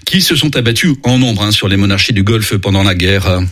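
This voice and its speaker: Homme présentateur de journal radio, voix grave. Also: male voice, lowish voice. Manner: steady measured delivery. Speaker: news anchor. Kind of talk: radio news reading.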